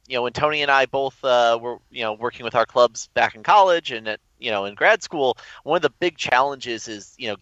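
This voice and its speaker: A man's voice: medium pitch, a bit bright, dry recording. A young adult man speaking as an interview guest, explaining things conversationally over dull call audio. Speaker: guest, event organizer.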